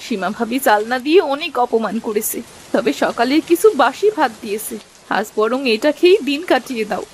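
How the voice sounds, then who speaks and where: A woman with a Bengali accent playing a practical, careworn village wife and mother in a cartoon, brisk and chiding. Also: on the high side, expressive, slight background noise, lightly acted, poor audio. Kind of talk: cartoon story dialogue.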